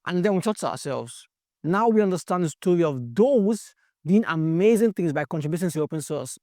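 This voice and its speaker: A man with a fairly high voice hosts and sets up a run of student stories, earnest and building up toward the tales. The sound is dry and close, with no room around it. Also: lively intonation, brisk, a little mellow, dry recording. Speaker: host. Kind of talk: scripted introduction.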